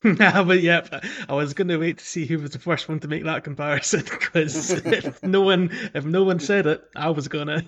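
A man's voice: fairly high pitch, steady tone, normal speed, few pauses, lo-fi sound. A man co-hosting a podcast in casual gaming chat, often repeating words as he thinks and chuckling as he goes. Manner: chuckles often while talking. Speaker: podcast co-host. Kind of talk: casual chat about a video game.

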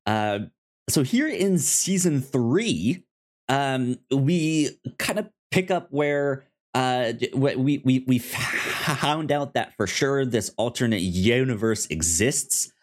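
Slow, stuttering man podcasting casually. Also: mid-range voice, lively intonation. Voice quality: stutters, repeating syllables and words. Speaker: podcast co-host. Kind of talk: casual chat and show recap.

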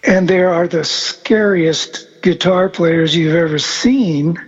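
Man in his seventies, slow unhurried speech, rambling storyteller, dull lo-fi sound. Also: fairly high pitch, breathy edge. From born in Argentina, raised across South America. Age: 70s. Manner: rambling, relaxed storyteller with many fillers and restarts. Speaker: musician guest. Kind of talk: casual conversational interview.

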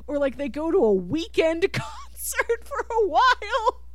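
A woman hosting a casual film discussion podcast, talking in an emphatic, animated manner. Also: very high-pitched, unhurried. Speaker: podcast host.

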